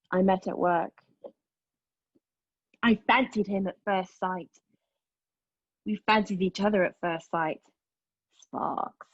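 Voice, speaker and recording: Woman acting a reflective, wounded woman, husky voice, intimate stage monologue, deliberate pauses, dry muffled recording. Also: medium pitch, dry recording. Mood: wistful. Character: wounded, reflective woman recounting lost love and travel. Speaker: actor in a play table read. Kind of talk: dramatic monologue reading.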